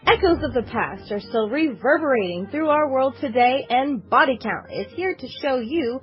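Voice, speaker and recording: An American woman reading a scripted comedic promo as a tongue-in-cheek sales pitch. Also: lively intonation, moderate pace, muffled recording. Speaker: podcast promo presenter. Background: faint music.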